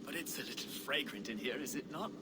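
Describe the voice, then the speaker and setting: A man's voice: mid-range voice, animated, brisk, noisy background, lightly acted. A man playing a proud, fussy nobleman in a game cutscene, theatrical and dryly formal. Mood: wry. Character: proud, fussy young nobleman heir to an eldership. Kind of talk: dramatic game cutscene acting.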